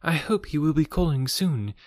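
This is a man lifting his voice into an eager, gentle young mother from a classic novel, polite and affectionate. The voice is raised and softened, and the recording is dry and close. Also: fairly high pitch, lively intonation, normal speed, no room sound, pitched above the performer's own voice, close to an everyday voice. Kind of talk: audiobook character reading.

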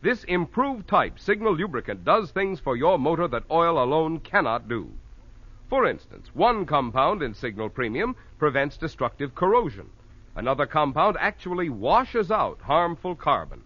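A man's voice: a little high, very animated, moderate pace. A male announcer doing 1940s radio sponsor announcements in a polished, emphatic style. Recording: dull old 1940s radio recording.

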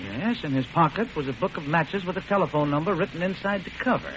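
Man playing a higher-voiced, dry police inspector. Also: fast, a bit bright, lightly acted. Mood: businesslike. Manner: matter-of-fact. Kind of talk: old-time radio drama acting. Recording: muffled, hissy old radio recording.

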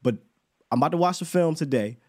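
A man's voice: fairly high pitch, expressive, fast, darkish tone, husky. A man hosting a sports call-in show, a bit nasal from a cold, talking in a slangy, confident way. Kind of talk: casual sports talk with callers.

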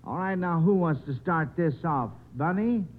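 Higher-voiced man hosting vintage radio. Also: animated, normal speed. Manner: chatty and folksy with children. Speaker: quiz show host. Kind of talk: 1950s radio panel show hosting. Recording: muffled vintage 1950s radio recording.

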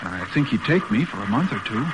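An actor playing an earnest, respectful young American son in a polite, hesitant, boyish radio drama manner. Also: male voice, lowish voice, big pitch swings, quick pace. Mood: eager, hopeful. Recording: noisy old radio recording.

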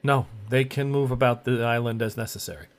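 A man's voice: medium pitch, steady tone, brisk. A man running a tabletop game as game master, explaining the situation and steering the players.

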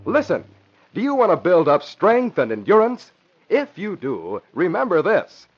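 A man's voice: high-pitched, wildly swooping pitch, a little slow. A man announcing in emphatic, dramatic 1940s radio style, reading scripted narration and ads. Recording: muffled old radio, faint hiss.

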